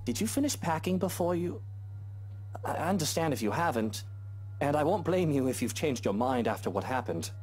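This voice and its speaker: Sour rich husband, husky, very animated pitch, whiny, caring, game acting, faint music behind. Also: male voice, moderate pace. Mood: worried. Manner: sulky, grumbling. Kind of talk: visual novel game acting.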